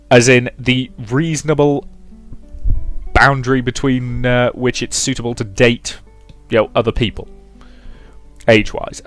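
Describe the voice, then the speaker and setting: A British man in his twenties, a let's play host reading a game aloud and commenting in a wry, rambling way. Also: mid-range voice. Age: 20s. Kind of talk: casual commentary while reading a visual novel aloud.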